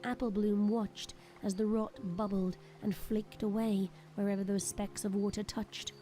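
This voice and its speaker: Mellow-voiced woman narrating an audio drama. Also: mid-range voice, flat delivery, measured pace. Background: faint background music.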